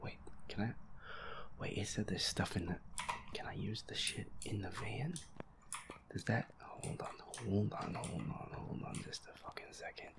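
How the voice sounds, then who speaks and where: A man muttering to himself in a breathy, whispery voice as he plays a game, repeating himself and swearing. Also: on the low side, fairly even intonation, moderate pace. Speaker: gamer playing a horror game. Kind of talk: hushed solo gameplay chatter, thinking aloud.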